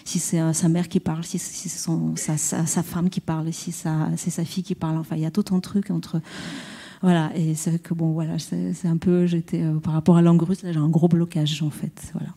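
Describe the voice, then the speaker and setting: A woman poet and translator speaking at a round-table discussion, halting and searching for her words. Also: deep voice, level tone, creaky, dark tone, husky.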